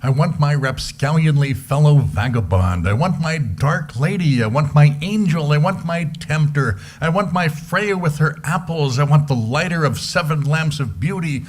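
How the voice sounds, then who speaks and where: High-voiced man reciting a love letter. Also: lively intonation, moderate pace, hardly any pauses. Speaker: amateur reader on stage. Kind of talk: dramatic love-letter reading.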